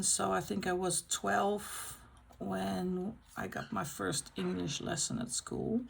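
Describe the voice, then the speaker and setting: Slow, gravelly Dutch-accented woman. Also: mid-range voice. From Netherlands. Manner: unscripted, pauses to find words. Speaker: colouring channel host. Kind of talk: casual chat while colouring.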